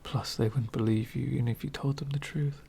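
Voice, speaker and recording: A man playing a lonely, courteous, centuries-old vampire in an ASMR roleplay, speaking formally and wistfully in soft, breathy whispers. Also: medium pitch, fairly even intonation, slight background noise, close to an everyday voice. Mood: gracious, wistful. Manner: formal, wistful, slow and deliberate.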